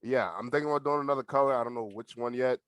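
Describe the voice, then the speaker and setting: A man's voice: mid-range voice, steady tone, rapid-fire, a bit bright, clean tone, dry recording. An eighteen-year-old man with an American accent, chatting casually as a podcast guest in a laid-back, slangy, sweary way. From United States. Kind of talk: casual chat. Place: bedroom at home.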